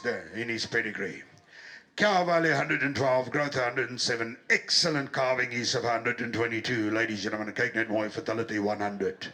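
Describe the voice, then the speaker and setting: A man's voice: medium pitch, sharp tone. A man working as a livestock auctioneer, rattling off bids in a rhythmic chant and switching between English and Afrikaans.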